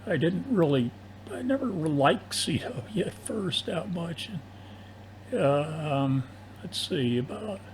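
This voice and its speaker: An older man speaking casually and haltingly as an amateur radio operator during an AM shortwave contact. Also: on the high side, expressive, poor audio. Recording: AM ham radio audio, faint hiss.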